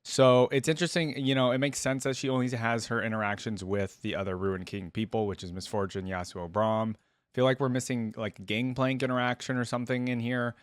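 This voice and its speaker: A man's voice: medium pitch, steady tone, a little fast, clean tone, no echo, clean studio sound. A man giving casual reaction commentary as the host of a video.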